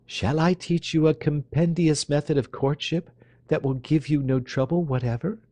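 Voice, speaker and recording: A man's voice: on the high side, steady tone, moderate pace, dark tone, no room sound, voice pushed up, close to an everyday voice. A man voicing a coquettish, teasing young woman with a playful, mocking lilt.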